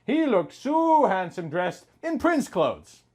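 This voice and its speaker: A man in his thirties reading a story aloud in a melodramatic, mock-sentimental comic style, swinging between hushed and loud. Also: sits high, very animated, takes it slowly. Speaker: comic host reading out fan fiction.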